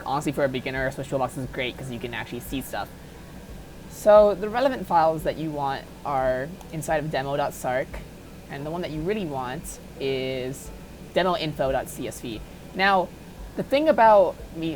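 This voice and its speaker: Low-voiced woman, casual tutorial host. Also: slight background noise, rough recording. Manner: informal, offhand, thinking aloud. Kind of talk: casual unscripted software modding tutorial.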